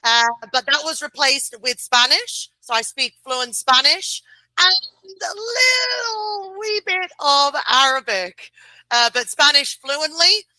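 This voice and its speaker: Chatty woman, high and really bright voice, dry sound, video call. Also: takes it slowly, no room sound, rough recording. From United Kingdom. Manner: chatty, laughs easily, fillers. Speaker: guest, client relations director. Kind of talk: casual interview chat.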